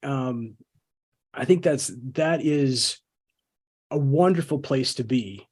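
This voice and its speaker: A man's voice: medium pitch, slow pace, a little mellow, slightly rough. Reflective man hosting a podcast. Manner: reflective, stammers and repeats words. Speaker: podcast host. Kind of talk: conversational podcast interview.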